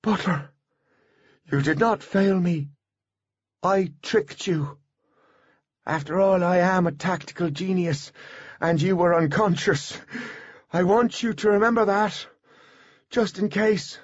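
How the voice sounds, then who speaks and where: Teenage boy genius, acted by a man, audiobook character, pitched-up voice, formal, precise, urgent, slightly lo-fi. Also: slow, long pauses, slightly rough, no room sound, heavily acted. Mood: earnest.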